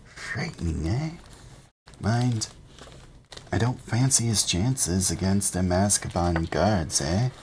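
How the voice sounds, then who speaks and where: A man voicing a chatty young male bus conductor, loud and eager in his gossip. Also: deep voice, lively intonation, slight background noise, pitched above the performer's own voice. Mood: gossipy. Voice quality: loud, eager, talks in rapid bursts.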